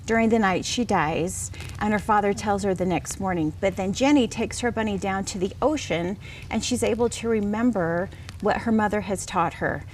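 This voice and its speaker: A middle-aged woman with a mid-pitched voice talks as an interview guest. She is earnest and reassuring, sharing her story in a conversational way. There is some noise behind her. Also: normal speed, rough recording. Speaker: interview guest, children's book author.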